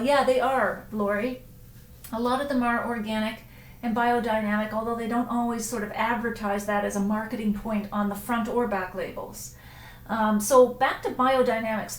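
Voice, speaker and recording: A woman hosts a live stream, answering viewers' comments in easy, rambling chat full of ums and quick self-corrections. Her voice sits a bit high. The room around her is echoey, with a faint hiss underneath. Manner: chatty, warm asides, frequent ums and self-corrections. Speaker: wine writer hosting a live stream.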